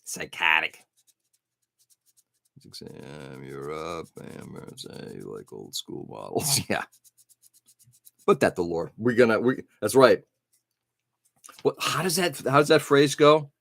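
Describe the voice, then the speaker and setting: Man, middle-aged, stream host, low voice, dry sound, rambly casual chat. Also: animated, slow, gravelly, long pauses, a bit bright, no echo. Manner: rambling, reads chat comments aloud and answers them. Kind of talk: casual early-morning chat with viewers.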